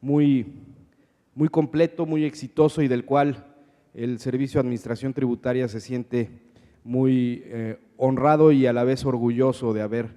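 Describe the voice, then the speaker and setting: A man with a Mexican accent moderating a conference panel in a semi-formal, hesitant and halting way, often repeating his words. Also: medium pitch, fairly even intonation, dark tone, dull audio. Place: conference hall. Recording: reverberant conference hall sound.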